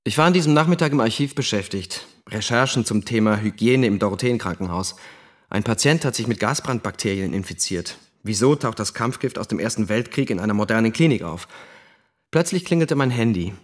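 A man's voice: on the low side, expressive, a little fast. An actor playing a factual, slightly superior journalist giving his account in a radio drama. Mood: matter-of-fact. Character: matter-of-fact male broadcast journalist giving his account.

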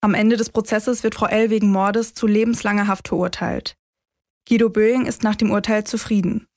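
A woman's voice: mid-range voice, level tone, moderate pace, darkish tone, no room sound, clean studio sound. A woman, hosting and narrating a true-crime podcast from a script. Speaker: podcast host.

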